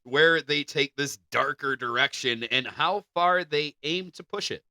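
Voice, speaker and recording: A man's voice: fairly high pitch, a little slow, bright, forceful tone, no room sound, clean studio sound. A man co-hosting a podcast, moving between reading entertainment news and casual banter. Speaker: podcast co-host.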